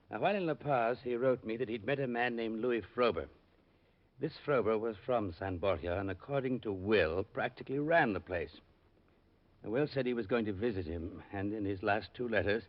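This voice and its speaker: A man playing a worried, wealthy client in a radio drama, speaking formally and precisely. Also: on the low side. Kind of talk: 1950s radio drama acting. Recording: muffled old radio broadcast recording.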